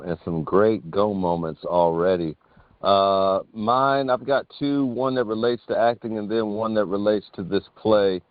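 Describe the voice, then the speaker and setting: A middle-aged man, an actor, giving a deliberate, articulate answer as an interview guest. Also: deep voice, no echo. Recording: dull lo-fi online call.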